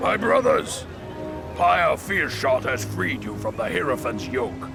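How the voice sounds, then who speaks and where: An actor playing a bold, zealous beast-warrior in a video game, with a declamatory delivery. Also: male voice, mid-range voice, sing-song, a little slow, vocal fry, over music. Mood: rousing. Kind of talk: dramatic video game acting.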